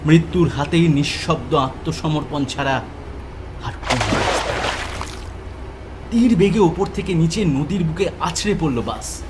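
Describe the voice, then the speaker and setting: A man's voice: fairly high pitch, lively intonation, measured pace, long pauses. A man narrating a scripted horror story with intense, suspenseful, dramatic delivery. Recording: loud ambient noise behind the voice.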